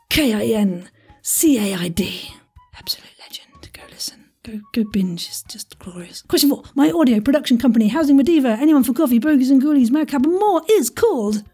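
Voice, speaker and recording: A woman quiz host with a high voice and a soft, mellow tone. She is chatty and theatrical, tossing in jokey asides and exclamations, with a breathy husk to her delivery. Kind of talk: chatty, playful audio quiz hosting.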